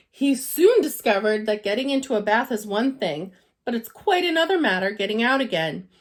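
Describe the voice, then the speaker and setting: A woman teacher reading a children's storybook aloud with expression. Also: on the high side.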